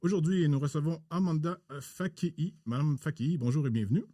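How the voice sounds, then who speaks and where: A man hosting a podcast interview in a formal, halting way with many pauses. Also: on the high side, mellow, no echo, clean studio sound.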